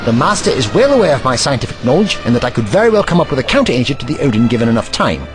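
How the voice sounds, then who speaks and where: A man's voice: mid-range voice, sing-song, rapid-fire, music in the background, close to an everyday voice. A man voicing a haughty, eccentric time-traveling scientist in an audio drama, pompous and lecturing.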